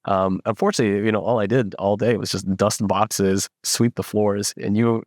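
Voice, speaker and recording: Young founder guy from the philippines, lowish voice, lots of ums, dry sound. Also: steady tone, moderate pace, darkish tone, no echo. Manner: reflective, many fillers and restarts. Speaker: startup founder. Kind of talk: casual interview.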